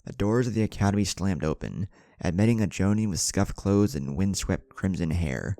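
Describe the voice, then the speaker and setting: A man's voice: deep voice, moderate pace, warm tone, no echo. A man reads a dramatic scripted story as its narrator, with music behind him. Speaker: fan fiction narrator.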